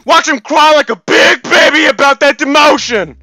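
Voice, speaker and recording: Over-excited taunting shooter-game announcer played by a man, extremely shrill and forceful, bone-dry recording. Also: moderate pace, creaky, dry recording, exaggerated voice. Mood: gleeful taunting. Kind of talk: shouted game voice lines.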